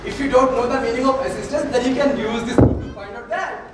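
Indian male actor as earnest, exasperated salesman, high voice, comic stage play, very echoey distant recording. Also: fairly even intonation, fast, crowd noise. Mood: patient, hesitant. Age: young adult. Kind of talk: amateur comic stage acting. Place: auditorium stage, indoors. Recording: echoey noisy auditorium, distant microphone.

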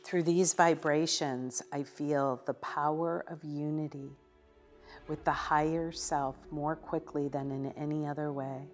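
A woman minister giving a contemplative devotional teaching in a living room, with measured delivery and occasional hesitations. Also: deep voice, fairly even intonation, soft background music. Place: living room with a sofa, indoors.

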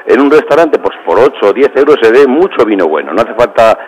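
A man's voice: mid-range voice, big pitch swings, fairly quick, husky, muffled recording. A restaurant manager calling into a radio show, talking proudly and at length about his food and wine. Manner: proud, talkative, repeats "espectacular". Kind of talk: phone-in radio chat.

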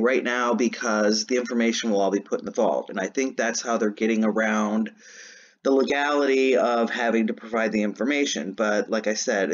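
A man's voice: fairly low pitch, highs cut off. A man hosting his own channel, talking casually through a screen-share walkthrough in a chatty, rambling way. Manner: chatty and rambling, frequent "um" and "anyways". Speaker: channel host.

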